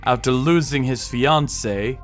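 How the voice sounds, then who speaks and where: A man giving grim, deliberate first-person dramatic narration for a manga dub, in scripted style. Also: mid-range voice. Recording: music behind the voice. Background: background music.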